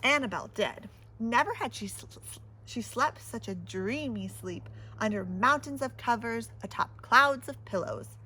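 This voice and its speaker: A woman's voice: fairly high pitch, expressive, unhurried, pushed and bright, breathy edge, poor audio. A woman reading a children's picture book aloud with theatrical, dramatic emphasis. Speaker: storybook reader.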